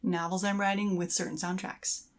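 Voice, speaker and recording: A woman's voice: lowish voice, flat delivery, normal speed. A middle-aged woman co-hosting a podcast, speaking casually in an affirming, explanatory way. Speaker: podcast co-host. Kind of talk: casual conversational talk about creative habits.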